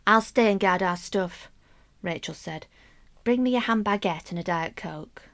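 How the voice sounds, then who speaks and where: A woman's voice: medium pitch, measured pace, lightly acted. A woman acting a romantic, wry, middle-aged mother who confides her private yearnings in an intimate radio drama monologue. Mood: wry, recounting. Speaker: actress in a radio play monologue.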